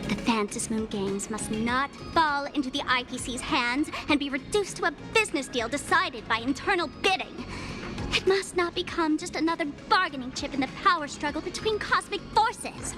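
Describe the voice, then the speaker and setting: A woman's voice: high voice, expressive, moderate pace, over music. A woman playing a playful, mischievous young game character who scorns boredom, speaking in a theatrical, teasing way. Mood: passionate. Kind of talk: animated video game character dialogue.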